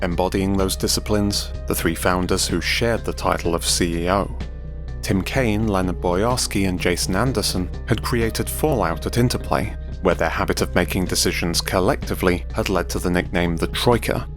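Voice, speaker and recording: A man narrates a scripted documentary in a low-pitched voice. Music plays clearly behind him as he tells the story. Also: moderate pace.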